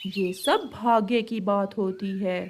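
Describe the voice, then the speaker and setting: Slow, moralising pigeon voiced by a woman. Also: medium pitch, steady tone, slight background noise, lightly acted. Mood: gentle reproach. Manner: measured, moralising. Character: wise, reproachful pigeon friend.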